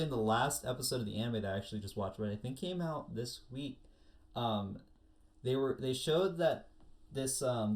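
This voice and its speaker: A podcast co-host chatting casually about anime, with animated emphasis and plenty of "like" as filler. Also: mid-range voice.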